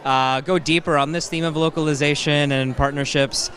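A man's voice: a little high, steady tone, normal speed, fairly bright tone. A man in his thirties interviewing guests at a conference booth with a handheld mic, enthusiastic and encouraging. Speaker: interviewer for a conference live studio. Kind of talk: upbeat live event interview. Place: conference hall booth, indoors.

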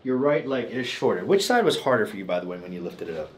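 A man's voice: lowish voice, very animated, quick pace, slight background noise. A man in his thirties working as a chiropractor, giving short casual instructions and reassuring check-ins to a patient in a small treatment room. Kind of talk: casual hands-on talk with a patient.

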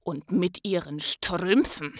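A woman's voice: fairly low pitch, slow pace, no echo, clean studio sound. A woman voicing a grumpy, spiteful male storybook creature, growling and grumbling with hatred. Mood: angry grumbling. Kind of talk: dramatic storybook reading.

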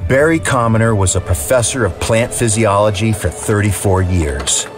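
Low-pitched male documentary narrator. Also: lively intonation, moderate pace, music in the background.

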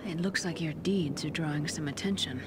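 A woman's voice: low-pitched, a little fast, natural voice. A woman voicing a friendly, chatty tavern barmaid in a fantasy video game. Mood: welcoming, chatty. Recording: noisy tavern ambience with clinking.

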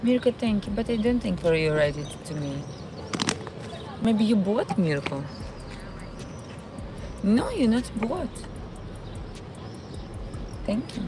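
A woman with a Ukrainian accent talks slowly and unhurriedly into her phone, stopping often to search for words as she chats with her viewers. She is streaming outdoors in a city park. Clear outdoor noise runs behind her voice. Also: mid-range voice, sing-song. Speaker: live-streaming vlogger. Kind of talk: casual live stream chat with viewers.

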